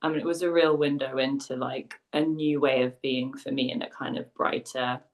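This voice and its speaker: A woman sound healer sharing her story on a live video call, soft and reflective with small laughs. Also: very deep voice, fairly even intonation, normal speed. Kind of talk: personal story in a live online talk.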